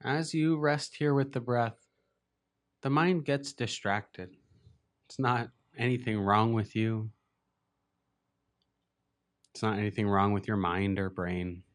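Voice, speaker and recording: A man with a lowish voice guides a meditation. He speaks as a teacher giving simple instructions, gently and without hurry. Long pauses sit between his phrases.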